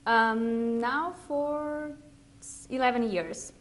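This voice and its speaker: A woman with a Ukrainian accent chatting casually as a quiz contestant, in simple, slightly halting English. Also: fairly high pitch, steady tone. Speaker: quiz contestant, German teacher. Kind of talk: casual chat.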